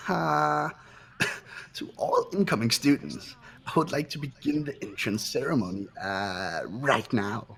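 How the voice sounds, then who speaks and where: A man voicing a smug, playful villain announcer in a game, drawn-out and mock-formal. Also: medium pitch, gravelly, slightly rough, heavily acted. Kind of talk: amateur game character acting.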